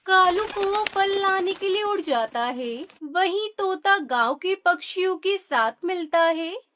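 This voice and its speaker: Female narrator for children's story, very high-pitched, unhurried scripted read. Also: lively intonation, fairly bright tone.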